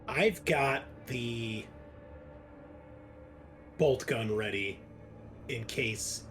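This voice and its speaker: A man chats informally as a player in a tabletop game. His voice sits at a middle pitch as he thinks aloud, and music plays behind him. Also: very slow, fairly bright tone. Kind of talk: casual table talk.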